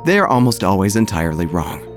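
A man's voice: on the low side, very animated, fast. A man playing a droll, gossipy small-town church narrator, telling a scripted comic story with wry, theatrical phrasing. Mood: droll, confiding. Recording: music under the voice.

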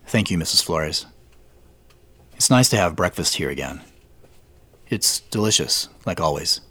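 An actor playing a troubled, polite businessman who narrates an audio drama in a measured, thoughtful way. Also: deep voice, animated, pushed and bright, slightly rough, dry recording, full character voice. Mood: polite, subdued.

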